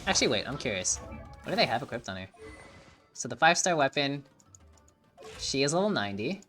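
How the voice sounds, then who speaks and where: Woman gaming and muttering along, really deep voice, swings up and down a lot. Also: big pitch swings, a little fast, over music. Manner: loose, mumbling reactions, swears. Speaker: game streamer. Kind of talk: casual gameplay commentary.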